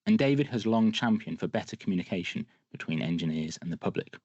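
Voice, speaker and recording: A man with a lowish voice hosts a podcast interview, upbeat and encouraging toward his guest. The sound is dry and a little dull, as if the top end has been rolled off. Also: animated, fast talker, darkish tone, husky, no room sound. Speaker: podcast host.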